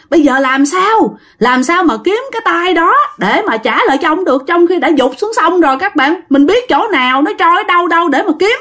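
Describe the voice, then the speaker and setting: A woman plays an exasperated village wife in a told story. Her voice is high and very animated, nagging and pressing with question after question. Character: scolding, exasperated village wife fed up with drinking husband. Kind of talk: ghost story voice acting.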